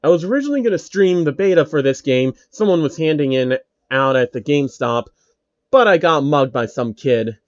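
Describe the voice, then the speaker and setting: Man putting on a whiny, high-pitched game streamer. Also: lively intonation, brisk, no echo, lo-fi sound, close to an everyday voice. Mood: casual. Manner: complaining, indignant outbursts. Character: whiny, cocky, hot-tempered male game streamer.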